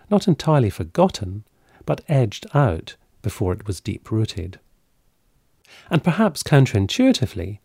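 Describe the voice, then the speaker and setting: A man, a podcast host, reading a measured scripted introduction. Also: lowish voice, creaky, warm tone, husky, dry recording, studio quality.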